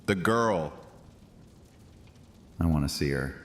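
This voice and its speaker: A man playing a scheming, insistent high priest in a fantasy audio drama, theatrical, persuasive and sardonic. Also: expressive, slow pace. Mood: pressing, sly. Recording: long cavernous reverb, music underneath.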